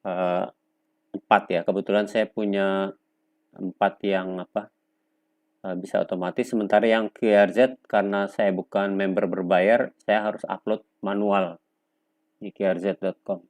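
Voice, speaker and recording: A man presenting an unscripted software tutorial step by step, speaking in a halting, instructional way with pauses between short phrases. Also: sits low, level tone, dry recording. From Indonesia. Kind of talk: unscripted step-by-step screen demonstration.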